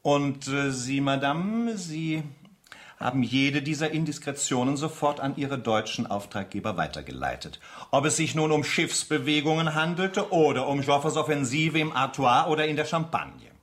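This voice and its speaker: A man's voice: a little high, expressive, normal speed, a bit bright. An actor in a German radio play playing a stern military interrogator, formal, insistent and sardonic as he makes his accusations.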